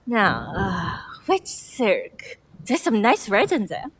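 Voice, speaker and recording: An actress playing an obliging, slightly fussy silk tailor in a video game, polite and expressive as she deliberates. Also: medium pitch, breathy edge, no room sound, exaggerated voice. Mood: eager to please. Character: obliging, fussy female silk tailor, proud of her craft. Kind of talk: dramatic video game cutscene acting.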